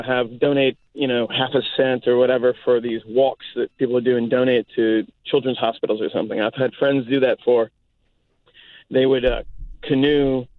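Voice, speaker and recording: A man's voice: medium pitch, dry recording. A man from South Louisiana, a native Cajun French speaker, calling in and talking reflectively, searching thoughtfully for words. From South Louisiana, United States. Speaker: caller. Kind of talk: reflective call-in talk. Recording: narrow phone line.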